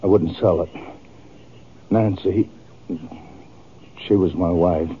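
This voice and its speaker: An actor playing a wounded, bitter, grieving Texas farmer in an old radio western, speaking in a rustic, halting, strained way. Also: male voice, low voice, steady tone, slight background noise. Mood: weary, sorrowful. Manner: rustic, halting, weary speech. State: injured, strained. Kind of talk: 1950s radio western acting. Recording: narrow, muffled old-time radio recording.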